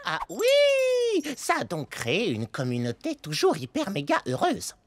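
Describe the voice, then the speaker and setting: A woman voicing a brainy, worried little male microbe in an animated cartoon, explaining things in a nerdy, jittery way. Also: mid-range voice, measured pace, background noise. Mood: explanatory. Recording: music under the voice.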